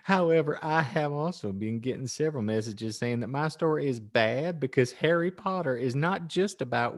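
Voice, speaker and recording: A man voicing a pious, chirpy housewife who gushes earnestly as she reads out her moralizing fan fiction as a storybook. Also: on the high side. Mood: gushing, preachy. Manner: gushing, earnest, exaggerated emphasis. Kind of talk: dramatic storybook narration.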